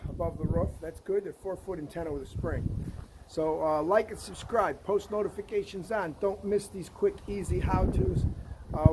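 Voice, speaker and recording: A man's voice: high voice, very noisy. A man hosting a casual hands-on how-to vlog, enthusiastic and folksy, talking while he works outdoors. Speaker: host of a DIY Jeep project channel. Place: outdoors beside a vehicle, windy.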